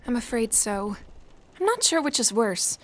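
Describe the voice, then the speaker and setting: A woman's voice: a little high, sing-song, moderate pace. An actress playing a polite, reserved but curious young woman at sea in a Regency-era audio drama. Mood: weary, polite. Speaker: actor playing a new passenger. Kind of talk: period audio drama acting.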